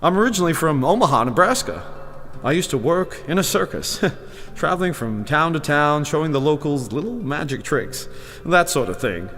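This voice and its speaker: A timid old wizard, who is really a fraud from the circus. His slightly high voice swings widely as he stammers and pleads. It sits in a long reverb with music behind, in the style of a fantasy audio drama. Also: very animated, moderate pace, a bit bright. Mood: sheepish reminiscing. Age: older. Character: timid old humbug wizard, former circus balloonist from America. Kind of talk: full-cast fantasy audio drama acting.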